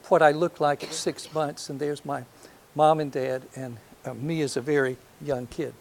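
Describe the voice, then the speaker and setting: An older man from North Alabama with a soft voice, giving a reflective lecture to an audience, hesitant and full of ums, with gentle self-deprecating humor. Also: a little high, little pitch movement, moderate pace, creaky, a little hiss or hum. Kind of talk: last lecture, reminiscence. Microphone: handheld or podium microphone.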